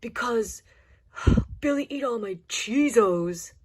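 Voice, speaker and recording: A grumpy, touchy puppet turtle from a children's skit, played by a woman. The voice is raspy and fairly high, with a huffy, emphatic way of complaining. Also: lively intonation, slow pace, heavily acted. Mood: sulky, frustrated.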